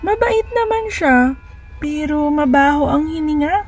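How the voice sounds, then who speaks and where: A woman's voice: high-pitched, expressive, a little slow, soft background music, pitched above the performer's own voice. A woman voicing a sweet, polite little daughter with a childlike way of talking, in a storybook reading. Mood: whispery, confiding.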